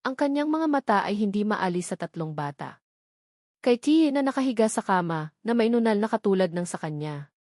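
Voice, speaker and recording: A woman narrating a scripted romantic drama in a solemn, measured way, pausing for suspense. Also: mid-range voice, lively intonation, darkish tone, no room sound, clean studio sound. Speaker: story narrator.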